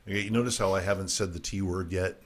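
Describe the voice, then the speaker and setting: A man's voice: sits low, fairly even intonation, fast talker. A middle-aged man hosting a casual talk show, ranting irreverently about the news with frequent stumbles and restarts. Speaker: podcast host. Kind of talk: casual political comedy talk.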